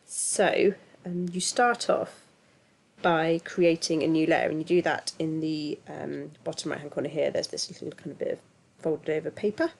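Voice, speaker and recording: A woman, her voice thick and stuffy from a cold with a gravelly edge. She talks through a software tutorial in a chatty, easygoing way, full of ums and little self-deprecating asides. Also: low voice, fairly even intonation, moderate pace. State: congested from a cold. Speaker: tutorial host. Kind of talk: casual screen-recorded software tutorial.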